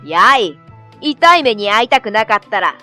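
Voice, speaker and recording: A woman voicing a menacing male kite bird in a children's storybook, shouting rough threats, with music behind. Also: medium pitch, sing-song, moderate pace, voice pushed down. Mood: menacing, angry. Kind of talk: children's animated storybook dialogue.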